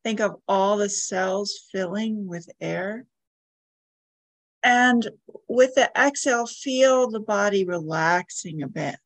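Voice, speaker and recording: A woman leading a guided meditation as a podcast host, giving soothing, gentle instructions with long pauses. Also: medium pitch, dry recording.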